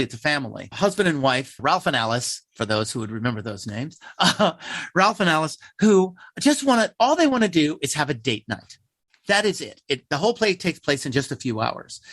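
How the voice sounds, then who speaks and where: Animated higher-pitched man, wry and emphatic. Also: no echo. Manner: emphatic, wry, acts out imagined quotes. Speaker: theater company playwright being interviewed. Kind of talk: animated conversational interview answers.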